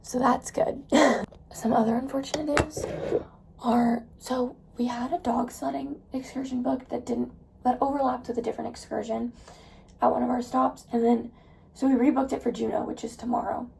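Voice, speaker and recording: Hoarse, gravelly young woman vlogging. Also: on the high side, little pitch movement, normal speed, darkish tone, slight background noise, low-quality recording. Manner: chatty and rambling, with many fillers. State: hoarse, losing her voice. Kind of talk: casual vlog chat.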